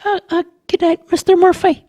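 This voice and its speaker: A man's voice: very high-pitched, little pitch movement, normal speed, dark tone, pitched above the performer's own voice. A man voicing a timid, eager young Irish boy in a storybook tale. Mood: timid, agreeable.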